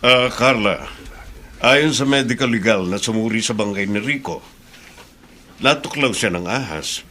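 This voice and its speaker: Low, bright-edged police chief. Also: male voice, measured pace, long pauses, a little hiss or hum. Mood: grave, official. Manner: official, matter-of-fact. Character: businesslike male police chief investigating deaths. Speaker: radio drama actor. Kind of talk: radio drama dialogue.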